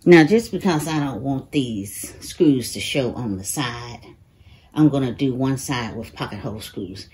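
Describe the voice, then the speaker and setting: A middle-aged woman with a low voice walks through each step of a woodworking build in casual, friendly how-to talk. The sound is a bit roomy, as if from a camera mic in a home workshop, with faint ambience behind her. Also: animated, a little slow, darkish tone, slight background noise. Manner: chatty, explains each step, says "y'all". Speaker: DIY woodworking creator. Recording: echoey workshop room.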